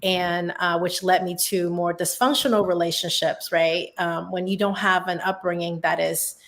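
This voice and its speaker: A woman's voice: on the low side, little pitch movement, normal speed, fairly bright tone. A woman from Hong Kong, speaking English as a second language, telling her story casually as a podcast guest. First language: Cantonese or other non-English first language.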